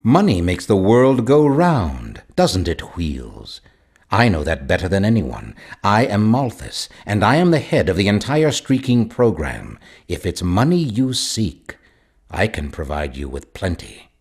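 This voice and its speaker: A wealthy, menacing mastermind from a racing video game. His voice is very low and husky, with lively rises and falls. He speaks with grandiose deliberation, coolly manipulating whoever he addresses. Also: measured pace, exaggerated voice. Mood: grandiose, tempting. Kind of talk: scripted video game villain dialogue.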